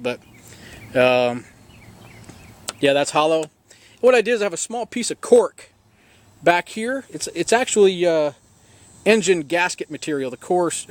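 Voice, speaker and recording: A middle-aged man talking casually on his boat outdoors, walking through his own repair work in a rambling, self-deprecating way with many fillers and restarts, recorded on a handheld camera. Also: a little high. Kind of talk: casual do-it-yourself walkthrough.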